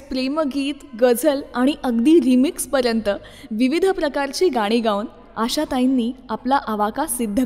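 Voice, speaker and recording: Woman, high voice, radio show presenter, scripted delivery, strong lingering reverb, light music bed. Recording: long reverb, music behind. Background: soft music.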